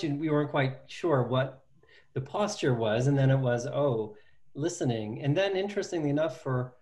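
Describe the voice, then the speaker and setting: A man conducting a conversational oral history interview, phrasing his questions haltingly as he thinks aloud. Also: mid-range voice, darkish tone.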